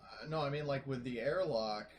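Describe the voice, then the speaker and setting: A man's voice: mid-range voice, moderate pace, crowd noise. A man chatting casually as a player in a tabletop roleplaying game.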